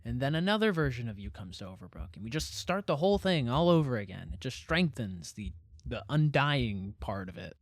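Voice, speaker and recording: A man's voice: mid-range voice, sing-song, a little fast, dry recording, clean studio sound. An actor playing a patient but wounded young man in an audio drama, talking in a natural, dryly wry and hesitant way. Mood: earnest, firm.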